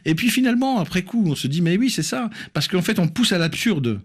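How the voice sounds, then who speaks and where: A man's voice: sits high, big pitch swings, a little fast, husky. Analytical man explaining, emphatic repetitions. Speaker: academic researcher, interview guest. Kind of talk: spontaneous explanatory interview.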